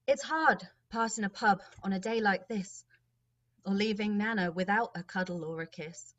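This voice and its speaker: A woman performing a wistful narrator in a measured dramatic reading of a rhyming monologue. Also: mid-range voice, muffled recording, natural voice.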